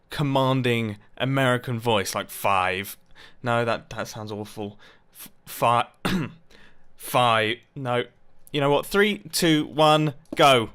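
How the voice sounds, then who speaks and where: A young man giving casual, excitable commentary over a video game, laughing often and joking about himself. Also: mid-range voice, clean tone. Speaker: gaming YouTuber.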